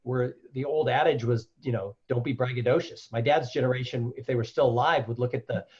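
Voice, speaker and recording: A man guest on a podcast interview, a loud, animated storyteller who acts out the voices of people he quotes. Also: mid-range voice, brisk, breathy edge, lo-fi sound. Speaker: guest, keynote speaker.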